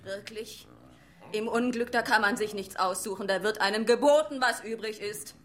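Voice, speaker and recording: A woman's voice: medium pitch, moderate pace, lightly acted. A woman acting a nagging, scornful wife in an absurd radio play, scolding and sardonic.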